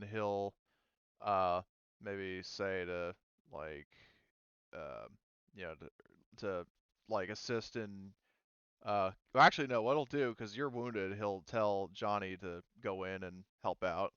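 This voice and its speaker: A man running a tabletop roleplaying game as game master, explaining rules and thinking aloud in a halting way. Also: fairly low pitch, a bit bright, clear, resonant tone, dry recording, muffled recording.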